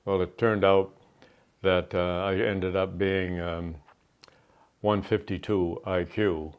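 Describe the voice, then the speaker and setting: Older New England man, deep, rambling. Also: little pitch movement, smooth. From New Hampshire. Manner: rambling, halting with many fillers. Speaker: Army veteran guest. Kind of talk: interview. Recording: dull lo-fi sound.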